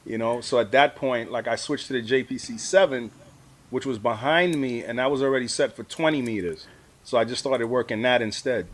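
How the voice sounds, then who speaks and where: A man's voice: mid-range voice, fairly quick, fairly bright tone. Mature man, laid-back colloquial talker. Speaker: amateur radio operator, award-winning guest. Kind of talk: casual storytelling in an interview. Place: live video stream.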